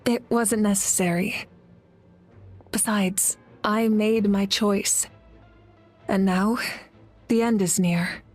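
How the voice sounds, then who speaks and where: A selfless heroine and loving elder sister. Her mid-pitched voice is slow, gentle and restrained, solemn game dialogue over soft music. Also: steady tone. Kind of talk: video game voice acting.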